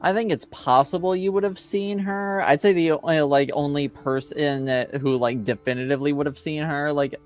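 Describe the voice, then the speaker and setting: A man improvising narration as game master of a tabletop game, casual and hesitant, often halting as he describes things. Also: fairly high pitch, fairly even intonation, faint music behind.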